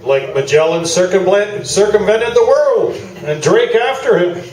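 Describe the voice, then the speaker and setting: A pompous sports columnist in a comic radio play, acted by a man, with a high, very animated delivery. He pontificates with great self-satisfaction, heard at a distance in a roomy theatre. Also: unhurried. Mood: smug, pedantic. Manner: grandiose, pontificating. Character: pompous, self-satisfied male sports columnist, fond of Toronto's hockey establishment. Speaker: actor in a live radio play. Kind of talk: staged talk-show debate, comic radio play. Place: live theatre, mic at a distance. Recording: roomy distant stage recording, music behind.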